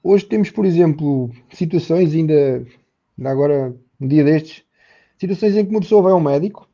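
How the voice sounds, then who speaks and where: A man's voice: on the high side, normal speed, mellow, lo-fi sound. A man with a European Portuguese accent, a therapist guest on a podcast, explaining earnestly and repeating words as he thinks. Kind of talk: conversational podcast interview.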